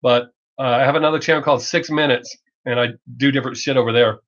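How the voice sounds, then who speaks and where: A man's voice: medium pitch, steady tone, fairly bright tone. Southern American man, dull lo-fi stream. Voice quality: Southern drawl masked by fast talk. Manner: blunt, rambling, profane, joking. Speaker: reaction video creator hosting a live stream. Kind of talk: casual livestream chat, off the cuff. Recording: dull lo-fi livestream audio.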